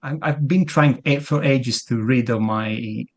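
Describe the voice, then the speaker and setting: A man's voice: mid-range voice, a little slow. A man from Italy with a thick Italian accent, a guest in a casual interview, often stumbling and repeating words as he starts his sentences. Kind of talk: casual video-call interview.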